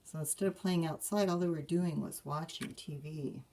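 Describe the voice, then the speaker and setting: A woman reading a picture book aloud to children as a librarian, expressive and measured, with asides to listeners. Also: sits low, very noisy. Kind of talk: children's storybook read-aloud.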